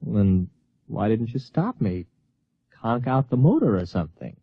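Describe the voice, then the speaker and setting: A man's voice: lowish voice, sing-song, moderate pace, husky, dry recording, full character voice. An actor playing a scheming but polite city man in a radio drama, anxious, hesitant and easily flustered. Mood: weary, surprised. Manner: hesitant, exclamatory. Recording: dry, dull radio-drama recording.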